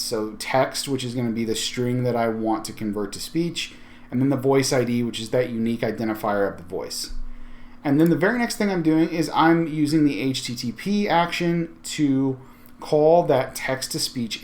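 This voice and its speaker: A man presenting a software tutorial in a casual, conversational screencast style, explaining each step and thinking aloud as he goes. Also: medium pitch.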